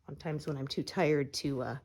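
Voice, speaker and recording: A middle-aged woman chatting casually as she works on a craft, relaxed and meandering with plenty of fillers, her voice a little congested from a cold. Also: deep voice, fairly quick, breathy edge. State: recovering from a cold, slightly congested. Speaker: cross-stitch vlogger. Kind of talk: casual chat while stitching.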